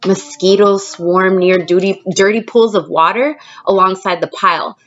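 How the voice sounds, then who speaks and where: A woman teacher reading a picture book aloud expressively, pausing to prompt young listeners with questions. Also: medium pitch, steady tone, a bit bright, muffled recording, a little hiss or hum. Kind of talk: storybook read-aloud with questions to children.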